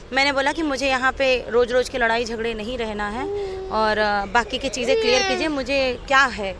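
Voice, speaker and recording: A woman's voice: sits high, a bit bright, slight background noise. A young woman giving a personal account in an interview, recounting her grievances at length.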